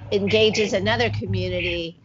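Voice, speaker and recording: A middle-aged woman interviewing in a conversational, reflective way, pausing in mid-phrase as she speaks. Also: medium pitch, steady tone, crowd noise. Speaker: interviewer, arts curator. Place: indoor room. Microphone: wired earphones on a video call. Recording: slightly dull video call audio.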